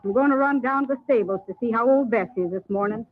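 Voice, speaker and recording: A woman's voice: a little high, fast, narrow, tinny audio, close to an everyday voice. An actress playing a bustling, motherly ranch woman with folksy country talk in an old radio western. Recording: noisy, thin old radio recording.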